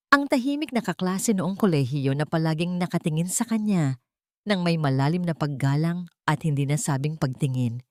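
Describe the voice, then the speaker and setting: A woman narrates a scripted love drama in a fairly low voice. Her delivery is solemn and sentimental, and the sound is dry with no room around it. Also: darkish tone, dry recording. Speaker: storyteller, narrator.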